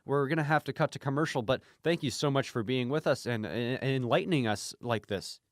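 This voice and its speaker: A man's voice: mid-range voice, fairly quick, no room sound, clean studio sound, natural voice. A man playing a polite, earnest and credulous interview host in an improvised radio comedy. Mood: awed.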